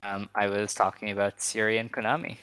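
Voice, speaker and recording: Relaxed male podcast host with a low voice, hesitant and chatty, recorded dry and close. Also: a little fast, clean tone, no echo, low-quality recording. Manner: relaxed, hesitant, many ums. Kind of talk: casual chat.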